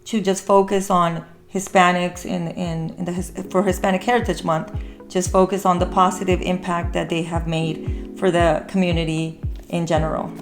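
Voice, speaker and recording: A woman's voice: lowish voice, flat delivery, normal speed, soft background music. Woman podcast host chatting earnestly. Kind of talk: casual conversational movie discussion.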